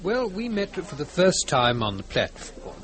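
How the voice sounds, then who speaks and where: A man's voice: high-pitched, very animated, measured pace, a bit bright, exaggerated voice. A man playing a genteel retired colonel who chairs and narrates in an old-time radio drama, formal, precise and polite. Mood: reflective, faintly disapproving. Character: genteel, fussy retired British colonel, society president.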